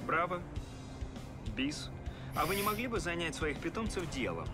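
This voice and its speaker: A man's voice: a little high, big pitch swings, moderate pace, over music. An actor voicing a put-upon cartoon courier creature, a man with a dry, sarcastic, theatrical manner. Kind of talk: animated film acting.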